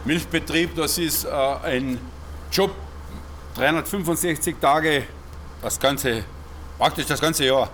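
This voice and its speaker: A middle-aged or older man, answering as a farmer in an interview, haltingly and repeating words. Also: fairly high pitch, fairly bright tone, slight background noise.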